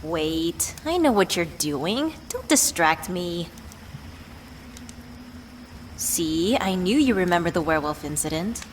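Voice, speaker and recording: A woman's voice: lowish voice, expressive, slow pace, pauses often. A woman playing a worried, affectionate witch who tenderly scolds a beloved partner in an ASMR roleplay audio drama. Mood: teasing exasperation. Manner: playfully exasperated, tender scolding with sighs. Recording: clear background ambient noise.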